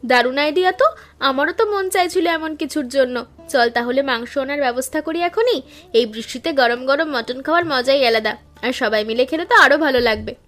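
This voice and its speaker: A woman's voice: sits high, quick pace, fairly bright tone. A young woman voicing a sensible, traditional elder daughter-in-law in a cartoon, speaking politely and eagerly. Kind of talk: cartoon voice acting.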